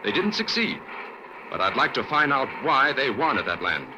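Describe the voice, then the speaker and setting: A calm, probing detective. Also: male voice, mid-range voice, normal speed, background noise. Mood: thoughtful. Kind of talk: 1940s radio acting.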